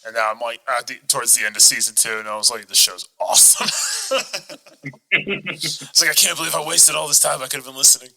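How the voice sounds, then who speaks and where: Man, podcast co-host, mid pitch, very bright forceful tone, casual joking chat. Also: fairly even intonation, brisk, gravelly, low-quality recording.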